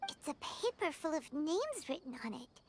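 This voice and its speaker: A woman voicing a small, childlike fairy companion in a video game, with a squeaky voice and an eager, inquisitive manner. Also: very animated, normal speed, slight background noise. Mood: curious. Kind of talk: English video game voice acting.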